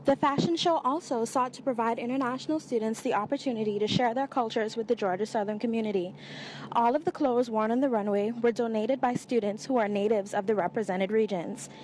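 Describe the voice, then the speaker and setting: A woman reporter narrating a student news package in an even, scripted way. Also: fairly high pitch, a little fast, a little hiss or hum, rough recording.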